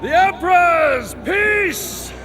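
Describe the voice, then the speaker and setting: An actor playing an arrogant, spoiled Roman general, the Emperor's petulant son, in a cinematic game. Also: male voice, extremely high pitch, lively intonation, extremely slow, full character voice. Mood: furious, commanding. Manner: imperious, petulant, theatrical. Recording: loud music behind the voice.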